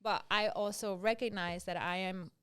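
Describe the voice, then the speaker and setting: A woman's voice: mid-range voice, steady tone, normal speed, fairly bright tone, clean tone. A Danish woman guesting on a podcast, speaking English as a second language, frank and unbothered. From Denmark. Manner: frank, unbothered, matter-of-fact. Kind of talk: casual interview chat.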